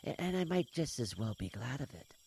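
A man voicing a calm, knowing reptilian alien military commander in an audiobook, with a measured, earnest manner. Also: mid-range voice, vocal fry, a little hiss or hum, voice pushed up. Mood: calm, grave. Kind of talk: audiobook character dialogue.

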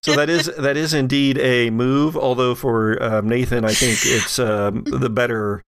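Guy game mastering, really slow and halting, gravelly fry in his voice. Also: medium pitch, steady tone, a bit bright. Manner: halting, deliberate. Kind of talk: improvised tabletop game narration.

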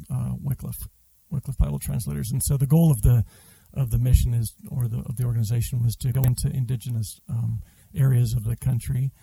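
Guy with a gravelly husky voice, chatting about where he grew up, dry close sound. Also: medium pitch, no echo, low-quality recording. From Brazil. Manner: relaxed, explains with restarts and fillers. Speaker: interview guest, school head. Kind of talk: casual interview conversation.

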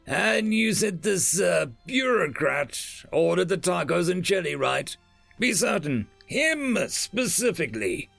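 A man's voice: high-pitched, sing-song, unhurried, gravelly, fairly bright tone, no room sound, faint music behind, pitched above the performer's own voice, exaggerated voice. A man voicing a blunt, sardonic male military general who fires off curt questions.

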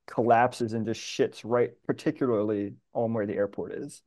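A man's voice: medium pitch, steady tone, moderate pace, darkish tone. A man chatting casually as a guest on a podcast. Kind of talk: casual chat.

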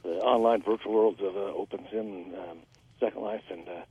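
A man calling in to a radio talk show, a voice actor chatting with many hesitant pauses. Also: lowish voice, steady tone, husky. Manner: halting, many filler pauses. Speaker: caller, voice actor. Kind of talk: call-in chat. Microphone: phone. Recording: tinny phone line.

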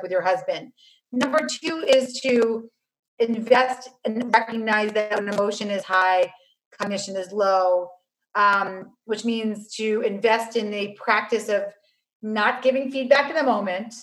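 Earnest woman laying out numbered advice. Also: low-quality recording. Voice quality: low-voiced by her own account. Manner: earnest, lays out numbered steps, tells anecdotes. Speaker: author and family mediator. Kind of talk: conversational guest explaining advice.

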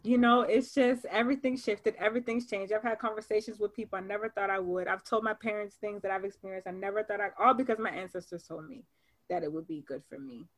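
A woman in her twenties, a podcast guest, telling her story in a lively, animated way, peppered with "like". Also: medium pitch, fast, low-quality recording. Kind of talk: casual chat.

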